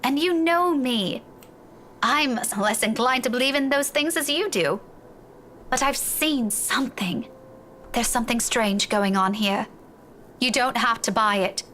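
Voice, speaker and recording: A woman's voice: a little high, measured pace, bright, forceful tone, a little hiss or hum. An actress playing an earnest, worried young woman who pleads with a friend in a visual novel game. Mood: worried, urgent. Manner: earnest, imploring.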